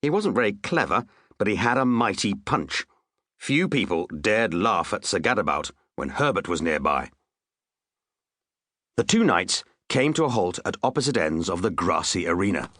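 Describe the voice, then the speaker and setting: Low-voiced man narrating, swooping pitch. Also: very animated, moderate pace, fairly bright tone, no echo. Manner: storytelling with dry, wry asides. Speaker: audiobook narrator. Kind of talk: scripted comic children's story narration.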